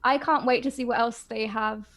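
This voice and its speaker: A woman's voice: fairly high pitch, steady tone, normal speed, fairly bright tone. A woman co-hosting a casual fan podcast, talking enthusiastically with lots of fillers. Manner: enthusiastic, gushing, frequent fillers. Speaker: podcast co-host.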